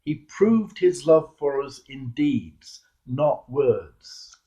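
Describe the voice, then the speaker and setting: A man reading a devotional sermon as a clergyman, measured and earnest, with emphatic pauses. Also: mid-range voice, very animated, dark tone, highs cut off. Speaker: Anglican clergyman, preacher. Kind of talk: devotional sermon reflection, read aloud.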